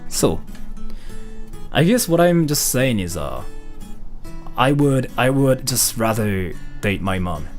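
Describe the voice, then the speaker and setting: Guy from japan rambling on a live stream, says like a lot, voice jumps up and down, soft guitar behind. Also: medium pitch, big pitch swings, slow pace, long pauses. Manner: rambling, heavy use of "like" fillers. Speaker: live streamer reading donations.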